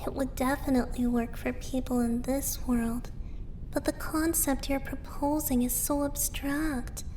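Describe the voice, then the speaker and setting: A woman playing a thoughtful, analytical female sage in an audio roleplay, measured and articulate. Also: sits high, a little mellow, a little hiss or hum.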